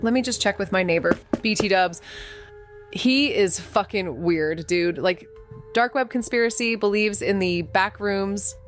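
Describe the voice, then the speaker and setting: A woman's voice: medium pitch, unhurried, soft background music, lightly acted. A woman playing a brash, slangy, sweary amateur sleuth in casual audio drama dialogue.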